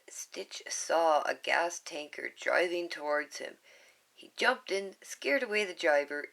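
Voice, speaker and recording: A woman's voice: fairly low pitch, fairly bright tone. A woman reading a children's storybook aloud, with casual asides and chuckles. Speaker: storybook reader.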